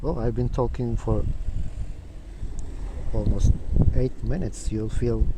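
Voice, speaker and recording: A young man from Turkey, a native Turkish speaker with a Turkish accent, talking to the camera and then reading an academic book aloud in a careful, unhurried way with occasional restarts. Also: mid-range voice, steady tone, heavy background noise.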